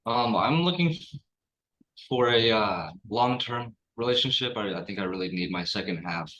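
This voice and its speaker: A man in his twenties speaking hesitantly, his sentences trailing off quietly. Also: fairly low pitch, lively intonation, normal speed, poor audio. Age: 20s. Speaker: caller. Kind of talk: casual self-introduction.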